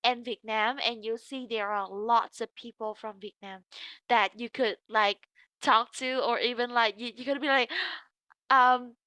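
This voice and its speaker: A woman's voice: a little high, fairly even intonation, measured pace, pushed and bright, no echo. A woman in her twenties chatting casually as an English tutor, telling stories full of "like" and acting out what people said. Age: 20s. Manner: chatty storyteller, many "like"s, acts out quotes. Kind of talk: casual conversation practice.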